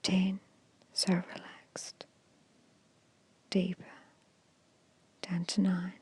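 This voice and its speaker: A woman guiding an ASMR meditation in a hushed, soothing, breathy whisper with short, measured phrases. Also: fairly low pitch, monotone. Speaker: hypnosis and meditation guide.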